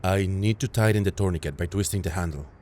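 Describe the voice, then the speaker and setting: A man playing a calm, caring field medic who reassures a patient in an intimate audio roleplay. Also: sits low, fast, slight background noise, lightly acted. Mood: caring, reassuring. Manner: gentle, reassuring, matter-of-fact, addressing a patient directly.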